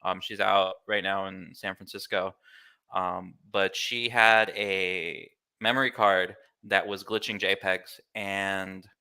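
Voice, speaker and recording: Bright, clear American man, casual and easygoing. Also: sits low, flat delivery, takes it slowly. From Miami, United States. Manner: easygoing, informal, filler-heavy with "like" and "kinda". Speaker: interview guest, glitch artist. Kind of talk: casual explanatory chat.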